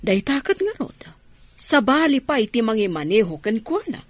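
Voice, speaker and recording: A woman's voice: a little high, very animated, moderate pace, no echo. A woman with an Ilocano accent playing the middle-aged lady of a well-off household in a radio drama. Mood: inquiring.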